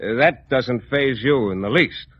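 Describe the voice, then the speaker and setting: An actor playing a haughty, bossy painter with a morbid streak, imperious and theatrical in old radio comedy style. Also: male voice, mid-range voice, a little slow, no echo, close to an everyday voice. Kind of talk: 1940s-50s radio comedy acting. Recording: muffled, faintly noisy old radio broadcast.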